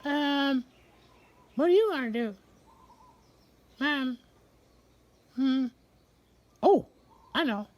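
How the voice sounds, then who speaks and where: A woman telling a bedtime story in a gentle, playful chat, as if talking to a child. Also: high-pitched, lively intonation, very slow, pauses often, no echo.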